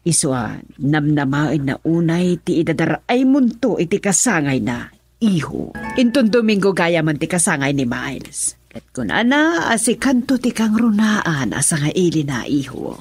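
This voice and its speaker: A meddling middle-aged mother in an Ilocano radio drama, with a lowish voice that swoops widely. She coaxes and exclaims theatrically in an Ilocano Filipino accent. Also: big pitch swings, normal speed, a little mellow, husky, faint music behind, full character voice. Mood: coaxing.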